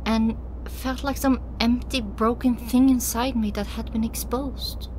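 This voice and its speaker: A woman's voice: fairly high pitch, fairly even intonation, unhurried, darkish tone, a little hiss or hum, poor audio. A woman narrating a scripted first-person story as an audiobook reader. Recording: music behind the voice.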